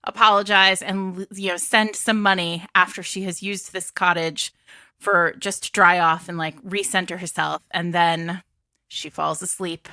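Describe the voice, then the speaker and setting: A woman hosting a casual book chat, telling stories in an animated way with playful asides. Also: on the low side, measured pace, no room sound, clean studio sound. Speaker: podcast host.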